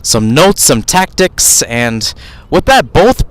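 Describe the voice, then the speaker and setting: A man's voice: a little high, sing-song, takes it slowly. A man doing live soccer play-by-play for radio from beside the field, mixing clipped calls with rambling asides. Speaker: play-by-play commentator. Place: outdoors at a youth soccer field. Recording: noisy outdoor ambience, traffic hum.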